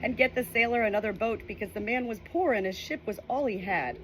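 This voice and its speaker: A woman's voice: a little high, a little fast, a little hiss or hum, lightly acted, low-quality recording. A woman voicing an earnest, sensible chimpanzee who explains things to others in a storybook. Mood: earnest explaining.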